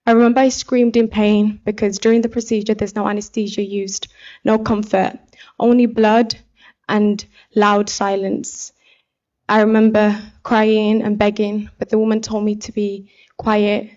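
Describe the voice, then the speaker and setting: Young woman from somalia giving a heartfelt testimony speech, pretty flat pitch, kinda dull lo-fi audio. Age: young adult. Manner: earnest, deliberate public speaking. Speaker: pageant contestant and activist speaker.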